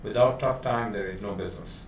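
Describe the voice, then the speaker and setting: Man, podcast host, low voice, loose chat with fillers, echoey room, faint noise. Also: fairly quick. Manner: conversational, lots of fillers and restarts. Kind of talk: casual business interview. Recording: roomy echo, distant mic, faint noise.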